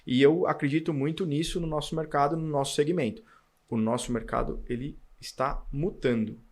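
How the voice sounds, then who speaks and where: A man, a podcast guest telling his career story in an animated way. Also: a little high, normal speed. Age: 40s. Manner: animated storytelling, acts out past dialogue. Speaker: podcast guest, car dealer. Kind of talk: casual storytelling interview.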